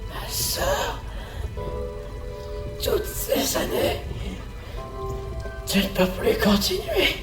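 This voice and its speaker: An actor playing a sick, mutating brother in an audio drama, weary and pleading, with a breathy, halting, strained voice. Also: male voice, sits high, wildly swooping pitch, exaggerated voice. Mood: anguished, weary. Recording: echoing voice under loud music.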